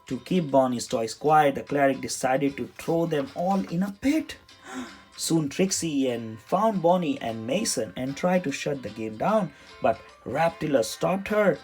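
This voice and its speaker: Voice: medium pitch, animated, measured pace, darkish tone. A children's storybook reader giving a scripted read-aloud narration. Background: music under the voice.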